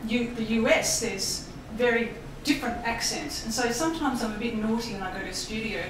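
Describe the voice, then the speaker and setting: A woman with an Australian accent giving a lively public talk about voice work, laughing often and mimicking accents. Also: fairly high pitch, a little fast, distant mic, noisy background. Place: embassy hall. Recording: echoey hall audio from afar.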